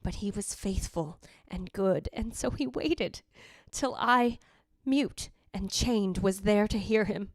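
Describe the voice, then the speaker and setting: A woman's voice: mid-range voice, slow pace, hardly any pauses, no echo, studio quality. A woman playing the jealous ghost of a dead wife, narrating in a theatrical, literary, anguished way in old-time radio style. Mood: anguished, wounded.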